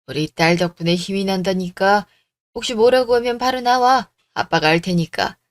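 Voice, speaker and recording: A woman plays a gentle middle-aged mother in a radio drama. She speaks hesitantly and caringly, in a close, dry recording. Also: mid-range voice, normal speed, no echo, natural voice. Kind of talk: Korean radio story drama.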